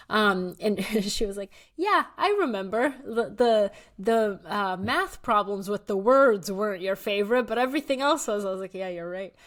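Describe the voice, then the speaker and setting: Chatty woman author with lots of fillers. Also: mid-range voice. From Bulgaria. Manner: chatty, laughs often, many fillers and restarts. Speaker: author and guest. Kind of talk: casual interview conversation.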